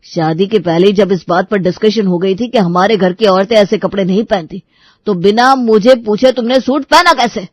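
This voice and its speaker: A man's voice: high voice, normal speed, dry recording. A man playing an angry, suspicious young husband who scolds and threatens in a Hindi moral-story drama. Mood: angry, accusing.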